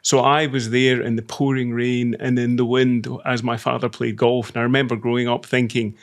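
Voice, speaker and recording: A middle-aged Scottish man in a relaxed interview with a gravelly texture to his voice. He speaks at an even middle pitch, measured and self-deprecating, often restarting his phrases. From Scotland. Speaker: podcast guest, semiconductor executive. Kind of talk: casual interview talk.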